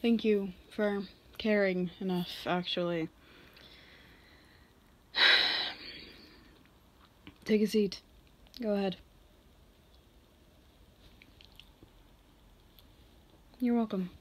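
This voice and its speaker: Slow-talking, reserved female boss acted by a woman. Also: mid-range voice, steady tone, darkish tone, slight background noise, lightly acted. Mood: hesitant, softening. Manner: halting, measured delivery with sighs. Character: reserved female office boss, guarded but softening. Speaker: ASMR roleplay performer. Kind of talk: ASMR roleplay, slow intimate acting.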